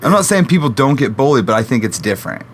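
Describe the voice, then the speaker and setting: A man's voice: medium pitch, sing-song, quick pace, a little hiss or hum. A man co-hosting a podcast, easygoing and reasoning things out aloud in casual chat. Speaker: podcast co-host.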